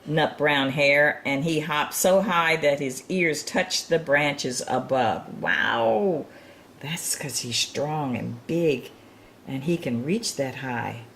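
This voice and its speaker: A woman's voice: low-pitched, takes it slowly, fairly bright tone, slight background noise. A woman reading a picture book aloud to young children, with chatty, encouraging asides and chuckles over the pictures. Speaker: children's storytime reader.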